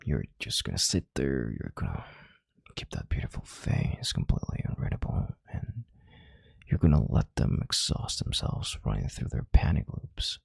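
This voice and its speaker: A man playing a calm, domineering CEO in an intimate audio roleplay, with a deep, gravelly, breathy voice and a measured, condescending yet tender manner. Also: no echo, studio quality, full character voice. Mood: coolly commanding. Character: calm, domineering male CEO soothing a panicked partner.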